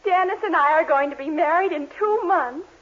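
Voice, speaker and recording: An actress playing a determined, girlish young bride-to-be in a 1940s radio sitcom, insistent and excitable. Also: very high voice, normal speed. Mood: eager, insistent. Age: young adult. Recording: very noisy, muffled 1940s radio recording.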